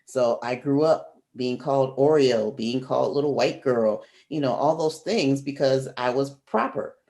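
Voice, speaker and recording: A woman's voice: a bass voice, unhurried, mellow. A woman from Chicago, a guest on a podcast, telling stories about her upbringing in careful, proper speech. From Chicago, US Midwest. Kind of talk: casual chat.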